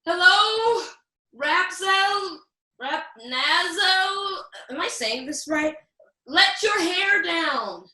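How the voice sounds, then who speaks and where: A woman's voice: very high-pitched, lively intonation, drawn-out words, bright, forceful tone, exaggerated voice. A woman playing a plucky, blunt, curious young fairy-tale girl in an irreverent radio play, with casual modern slang. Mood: calling up. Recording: roomy echo with noisy ambience.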